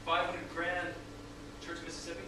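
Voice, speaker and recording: A man's voice: a little high, completely flat delivery, moderate pace, natural voice. A man playing a crass, joking accountant buddy in a staged reading, with loose, profane phrasing, recorded from afar in an echoey room. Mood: casual, awkward. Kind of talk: staged screenplay reading, casual dialogue. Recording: distant, very echoey room with noise.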